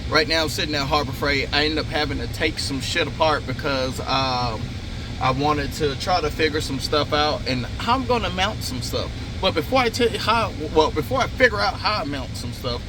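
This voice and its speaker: Higher-voiced man vlogging over clear noise. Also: animated, moderate pace. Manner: informal, slangy, swears casually. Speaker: car audio vlogger. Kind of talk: casual hands-on install vlog talk. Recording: noisy vehicle and outdoor phone-video sound.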